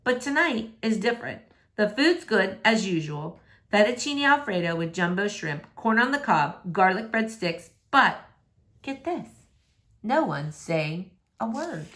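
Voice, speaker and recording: A woman's voice: mid-range voice, big pitch swings, unhurried, a bit bright. A woman reading a novel in verse aloud, narrating expressively.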